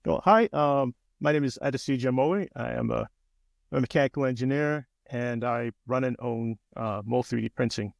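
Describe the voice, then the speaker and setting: A man from Nigeria in his forties, a business owner telling his story as a podcast guest in a relaxed, chatty way. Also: medium pitch, moderate pace, vocal fry, dry recording, clean studio sound. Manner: relaxed, chatty, frequent "um" and "you know". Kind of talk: casual interview storytelling.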